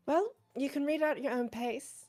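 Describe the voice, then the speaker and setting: A woman's voice: high-pitched, a little mellow. A woman voicing a timid, bookish teenage girl who hesitates and stammers. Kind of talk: fan voice acting of a visual novel.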